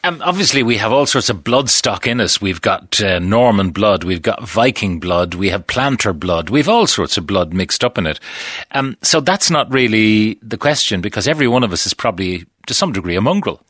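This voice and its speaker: A man's voice: lowish voice, sing-song, moderate pace, fairly bright tone, dry recording, clean studio sound. A man hosting a radio interview, posing a run of rhetorical questions. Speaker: radio host.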